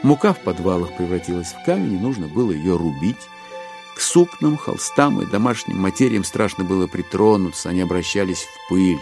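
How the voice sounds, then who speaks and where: A man narrating a literary story in an expressive, theatrical storytelling manner. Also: low voice, fairly quick, soft background music. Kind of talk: scripted dramatic literary narration.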